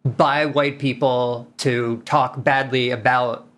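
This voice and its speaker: A man interviewing a guest, asking follow-up questions in a measured, plain way. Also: medium pitch, steady tone, a bit bright.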